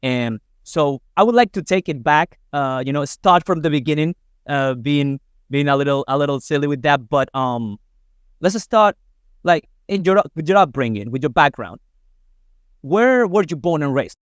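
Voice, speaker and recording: A man's voice: a little high, expressive, moderate pace, clear, resonant tone, dry recording, clean studio sound. A man from Spain hosting a podcast in English, rambling with many fillers and hesitations. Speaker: podcast host. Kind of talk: casual interview.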